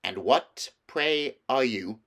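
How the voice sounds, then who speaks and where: A man's voice: medium pitch, animated, takes it slowly, gravelly, a bit bright, heavily acted. A man voicing a proud, stern, haughty queen in a storybook, speaking imperiously and demandingly.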